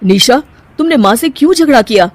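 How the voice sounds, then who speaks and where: A woman voicing a gentle, long-suffering young husband in a cartoon family drama, mild and earnest. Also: high-pitched, animated, fairly quick, no room sound, pitched above the performer's own voice. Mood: calming.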